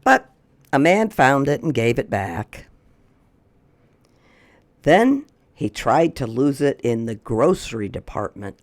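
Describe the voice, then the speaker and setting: A man's voice: mid-range voice, sing-song, slow, long pauses, dry recording. A man hosting a storytime for young children, chatting and reading aloud in a folksy way. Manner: folksy, repeats questions, talks aloud to companion. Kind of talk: casual chat to young children and picture-book read-aloud.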